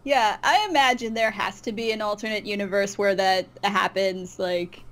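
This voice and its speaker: A woman with a medium-pitched voice chats as an interview guest. She shares anecdotes informally, hesitating and rewording as she goes. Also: fairly even intonation, moderate pace, fairly bright tone. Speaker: interview guest, YouTube voice artist. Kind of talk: casual chat, personal anecdote.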